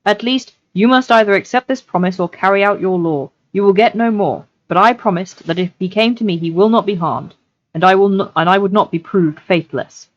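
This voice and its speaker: A woman voicing a loyal, earnest male traveller in a storybook reading, courteous and reassuring, gentle but firm. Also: mid-range voice, fairly quick, dry recording, lo-fi sound, lightly acted. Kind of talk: audiobook-style character reading.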